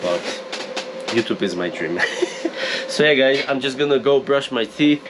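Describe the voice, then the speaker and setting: Polish accent guy rambling casually in his vlog, mid-pitched, lots of background noise in a little container room. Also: expressive, unhurried. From Poland. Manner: rambling, chatty, self-deprecating. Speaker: vlogger. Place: small room in a shipping container, rural desert.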